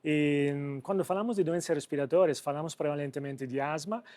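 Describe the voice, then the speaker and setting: Italian-accented man, higher-pitched voice, halting delivery. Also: darkish tone, dry recording. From Italy. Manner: halting, hesitant delivery with repeated searching for words. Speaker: company president, interview guest. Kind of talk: interview answers on business.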